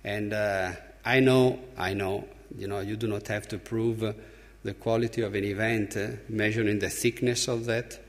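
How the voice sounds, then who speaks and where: A man from Italy with an Italian accent gives a conference speech as an opening speaker, emphatically, with halting, deliberate pauses. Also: lowish voice, steady tone. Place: large conference hall. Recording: reverberant hall, long echo.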